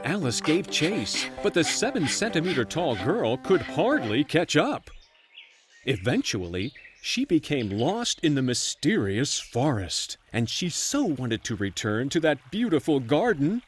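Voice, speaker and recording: A storyteller narrating a bedtime fairy tale in an expressive, playful storybook manner. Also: medium pitch, a little slow, soft background music.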